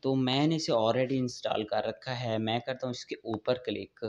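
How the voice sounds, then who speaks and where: A man's voice: medium pitch, steady tone, moderate pace, a little mellow. A man hosting a tech tips channel, narrating a casual step-by-step app tutorial to his viewers. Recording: dull, lo-fi voice-over recording.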